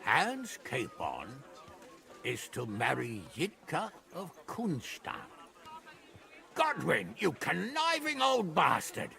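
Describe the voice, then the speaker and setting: An actor playing a blunt, boisterous middle-aged nobleman in a medieval video game, gruff and given to exclaiming. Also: fairly high pitch, wildly swooping pitch, slow pace, bright, forceful tone, full character voice. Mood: blunt, astonished. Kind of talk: dramatic video game voice acting.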